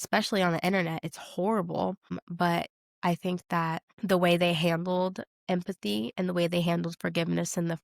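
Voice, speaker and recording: A woman's voice: on the low side, creaky, dry recording, clean studio sound. A woman co-hosting a podcast, chatting casually about film in an informal, emphatic way with plenty of "like". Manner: informal, emphatic, often says like. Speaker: podcast co-host. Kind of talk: casual film discussion chat.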